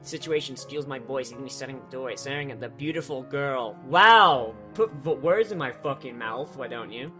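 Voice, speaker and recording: A man's voice: medium pitch, fairly quick, few pauses, sharp tone, faint music behind. A man reading a visual novel aloud, cutting in with sarcastic, sweary jokes. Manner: sarcastic, swears freely, breaks off to joke. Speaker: let's player.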